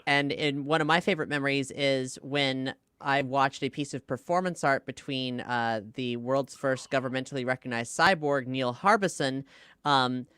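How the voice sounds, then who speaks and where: A man's voice: mid-range voice, moderate pace, sharp tone, smooth, no echo, clean studio sound. A man chatting casually as a podcast guest, explaining things in a thoughtful, wry way. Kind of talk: casual conversational interview.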